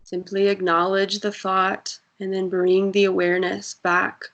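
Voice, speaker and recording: A woman guiding a breathing meditation in a soft, soothing voice with long pauses. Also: mid-range voice, monotone, highs cut off. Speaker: meditation guide.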